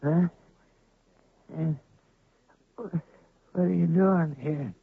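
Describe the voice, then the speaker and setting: A gravelly, slow-talking rancher. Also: male voice, on the high side, full character voice. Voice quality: gravelly, rural speech. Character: worn-out male rancher, unlucky gambler, gruff. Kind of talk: 1970s radio drama acting. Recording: lo-fi phone-band dry old radio recording.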